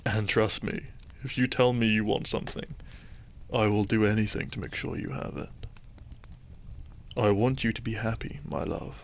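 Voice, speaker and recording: Low, gravelly, gentle doctor played by a man. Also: fairly even intonation. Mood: tender, reassuring. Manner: soft-spoken, measured, tender. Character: gentle, devoted doctor and groom, raised on a farm. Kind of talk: romantic audio roleplay addressed to the listener.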